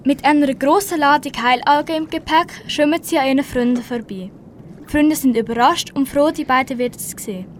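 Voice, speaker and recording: A teenage girl narrates an underwater children's story in a high voice. She has a Swiss German accent and reads from a script, with faint watery ambience behind her. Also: a little fast, a little hiss or hum. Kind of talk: scripted storybook narration, amateur school radio play.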